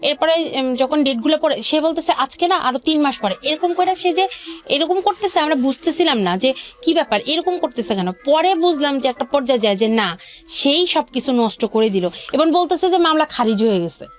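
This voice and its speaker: A woman's voice: high voice, expressive, brisk. A woman with a Bangladeshi accent, a guest on a life-story show, answering informally and conversationally.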